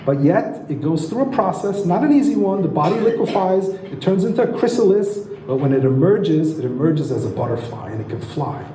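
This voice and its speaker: High-voiced man lecturing in a roomy hall. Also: very animated, fairly quick, hardly any pauses, crowd noise. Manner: enthusiastic, asks the audience rhetorical questions. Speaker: astrology lecturer and author. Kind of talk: teaching lecture. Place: lecture room. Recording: roomy echo.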